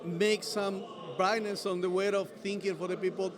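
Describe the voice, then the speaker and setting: A man hosting a casual interview, speaking haltingly, often repeating words, with non-native phrasing. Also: high voice, little pitch movement, crowd noise. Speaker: interviewer host.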